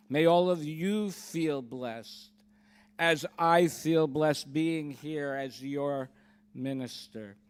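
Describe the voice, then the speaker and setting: A man speaking as a minister, giving a solemn blessing in measured, deliberate, emphatic phrases. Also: a little high, smooth. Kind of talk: solemn closing blessing at a church service.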